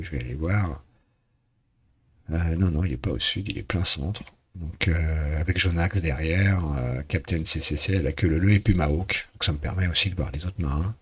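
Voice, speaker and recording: A hoarse man casually commenting on a sailing race game, talking with many hesitations and restarts. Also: a bass voice, dull audio.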